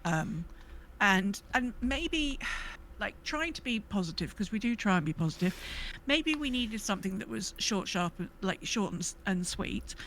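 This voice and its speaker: Woman, medium pitch, casual podcast talk, hesitant and rambling, faint noise behind. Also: lively intonation, unhurried, fairly bright tone, poor audio. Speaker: podcast co-host. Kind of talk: casual gaming podcast chat.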